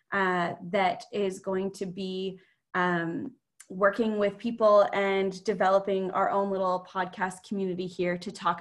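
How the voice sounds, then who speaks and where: A young woman from Ontario, Canada, coordinating a program, who introduces herself on a podcast in a friendly, chatty way. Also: medium pitch. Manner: friendly, chatty, a few restarts. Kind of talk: casual self-introduction.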